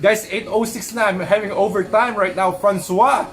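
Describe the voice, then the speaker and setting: A man's voice: high voice, fairly even intonation, fairly bright tone, soft background music. A young man answering fan questions in a casual live-stream chat, earnest and switching between English and Tagalog as he talks. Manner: says he stutters when his thoughts run fast.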